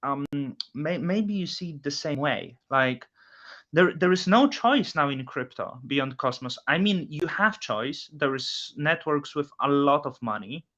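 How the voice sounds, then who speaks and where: A man with a Polish accent hosting a casual chat, speaking haltingly with frequent restarts. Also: fairly high pitch, animated, a bit bright. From Poland. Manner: halting, many restarts, non-native phrasing. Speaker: host. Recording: dull lo-fi audio.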